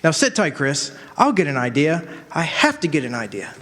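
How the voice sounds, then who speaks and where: A man playing an earnest, quick-witted lawyer in a radio drama, with a persuasive manner. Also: a little high, wildly swooping pitch, music in the background. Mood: earnest, urgent. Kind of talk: stage radio drama acting. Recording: echoey hall, long reverb.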